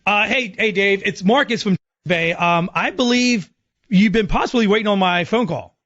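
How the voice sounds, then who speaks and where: A man's voice: high voice, moderate pace, fairly bright tone. A man hosting a radio show, talking in a casual, chatty style. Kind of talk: casual radio banter and prank calling. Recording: slightly muffled lo-fi audio.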